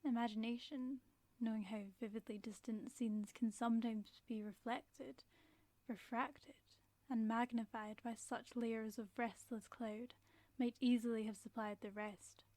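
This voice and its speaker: A haunted woman professor, played by an actress, delivers a grave warning as a radio drama monologue. Her voice sits on the high side and stays very level, with formal, deliberate phrasing. The sound is dry and close, with no room around it. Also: steady tone, a little mellow, no room sound, natural voice. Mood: haunted, brooding. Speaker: narrator.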